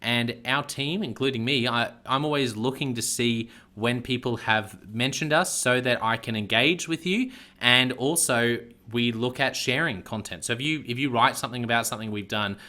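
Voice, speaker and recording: A man's voice: mid-range voice, moderate pace, hardly any pauses, bright, forceful tone. A man hosting a leadership podcast, upbeat and encouraging, with some fillers and restarts. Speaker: podcast host. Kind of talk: podcast interview and promo.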